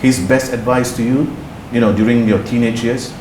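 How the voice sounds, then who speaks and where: A man's voice: mid-range voice, fairly even intonation, normal speed. Male interviewer, off-mic, roomy echo, faint noise, short plain questions. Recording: roomy and distant with light noise.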